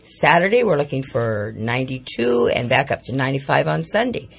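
A woman co-hosting a local morning radio show, her voice raspy and hoarse from hay fever, speaking emphatically. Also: rumbling low, big pitch swings, a little fast. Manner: emphatic, civic-minded remarks. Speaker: radio co-host.

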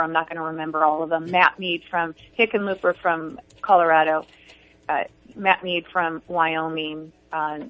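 A woman chatting as a guest on talk radio, halting, with lots of ums and restarts. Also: fairly low pitch, dry recording. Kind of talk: casual talk radio chat. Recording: dull dry lo-fi studio sound.

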